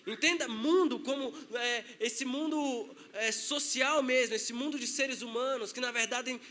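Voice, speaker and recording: A man's voice: very high voice, unhurried, pushed and bright. A man with a Brazilian Portuguese accent, a pastor preaching a sermon in a conversational way, acting out quotes.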